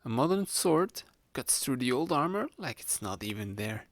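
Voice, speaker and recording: A man playing a sly, playful young male student hypnotist in a conversational ASMR roleplay, speaking to the listener in a gravelly, teasing voice. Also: medium pitch, sing-song, moderate pace, no room sound. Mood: curious, amazed. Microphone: close studio-style microphone, dry.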